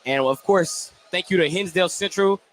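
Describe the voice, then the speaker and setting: A man's voice: high voice, moderate pace. A man hosting a live sports radio broadcast as a play-by-play announcer from a gym. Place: indoor school gymnasium. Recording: some background noise of a gymnasium.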